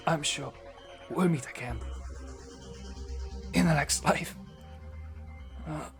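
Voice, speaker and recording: A badly hurt game character, weak and coughing. His speech drags very slowly in a fairly high, heaving voice. Music plays behind him. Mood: pained, resigned. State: coughing, struggling to speak. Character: injured, weakened man bidding farewell in a game.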